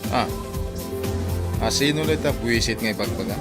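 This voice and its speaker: An actor with an Ilocano accent playing a playful, easygoing older-brother figure who teases in a village radio drama. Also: male voice, medium pitch, a little fast, close to an everyday voice. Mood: amused, teasing. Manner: playful, chuckling, exaggerated swoops. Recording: loud music bed behind the voice.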